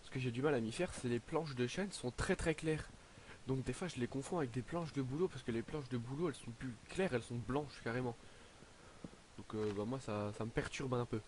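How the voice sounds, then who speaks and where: A teenage boy talking through his game on a headset at home, hesitant and full of fillers. His voice sits at a middle pitch and is slightly stuffy from a cold. A faint hiss runs under the recording. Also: brisk, a little mellow. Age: teens. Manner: hesitant, lots of "donc, euh" fillers. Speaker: gaming YouTuber. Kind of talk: casual game commentary.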